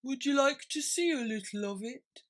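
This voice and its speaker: A woman voicing a sorrowful but excitable male turtle creature in a storybook, solemn and wistful, given to excited exclamations. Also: high voice, normal speed, pitched above the performer's own voice, close to an everyday voice. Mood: eager.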